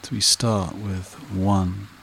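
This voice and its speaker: A man leading a guided meditation and hypnosis in a hushed, soothing, repetitive way with long pauses. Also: sits low, little pitch movement, gravelly, slight background noise.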